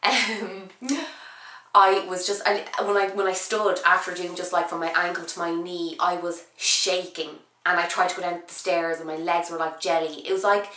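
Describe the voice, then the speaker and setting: A woman's voice: fairly low pitch, flat delivery, normal speed, sharp tone, reverberant, low-quality recording. Sick woman, chatty and rambling. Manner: chatty, rambling, frequent "um" and restarts. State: sick, voice husky and low. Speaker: beauty vlogger. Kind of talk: casual chat to camera.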